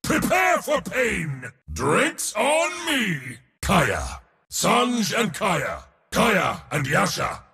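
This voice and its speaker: Pompous war god for a video game, gravelly, really dramatic swings, big reverb. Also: male voice, high-pitched, wildly swooping pitch, very slow, pushed and bright, full character voice. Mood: battle-roused, commanding, then proud, declaring. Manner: pompous, theatrical, emphatic. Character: proud, boastful, battle-loving male god of war. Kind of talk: video game character voice lines.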